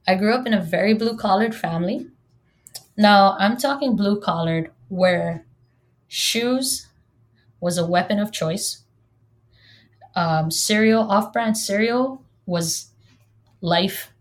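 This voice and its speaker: A woman from Hawaii whose first language is Hawaiian, in her thirties, hosting a solo podcast in a chatty, joking way and talking straight to her listeners. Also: lowish voice. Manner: chatty and humorous, addresses listeners directly. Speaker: podcast host.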